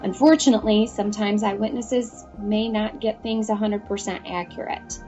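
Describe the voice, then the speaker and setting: A woman's voice: mid-range voice, fairly even intonation, normal speed, dark tone, faint music behind. A woman, an advocate for dispatchers, explaining in an interview. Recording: slightly dull, lo-fi interview sound.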